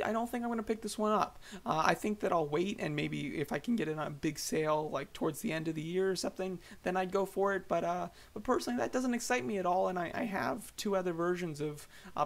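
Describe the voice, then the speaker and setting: Hoarse man, gravelly, fairly high voice, casual rambling toy commentary, lots of ums. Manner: rambling, hesitant, many fillers and restarts. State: slightly hoarse. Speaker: toy collector vlogger.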